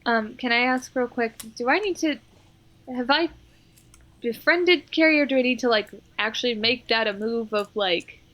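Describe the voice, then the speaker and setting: Bright, higher-pitched woman at game table. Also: moderate pace. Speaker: player in a tabletop role-playing game. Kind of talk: casual game-table talk.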